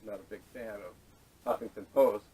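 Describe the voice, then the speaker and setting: A man's voice: lowish voice, steady tone, moderate pace, dark tone, husky. A man hosting a casual panel discussion, talking in a hesitant, rambling way, picked up by a camera microphone. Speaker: podcast host. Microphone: distant camera microphone. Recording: distant camera audio, noisy.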